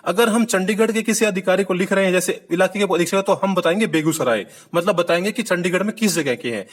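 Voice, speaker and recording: A man's voice: high-pitched, quick pace. A man giving a video lesson, explaining step by step, repeating key points and asking the viewer questions. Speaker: Hindi teacher. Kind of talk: classroom-style video lesson.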